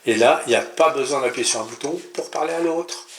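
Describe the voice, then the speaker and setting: A man's voice: mid-range voice, brisk. A man giving an informal hands-on DIY tutorial as a channel host, speaking in an improvised, hesitant way and often correcting himself. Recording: roomy echo, clear background noise, clicks.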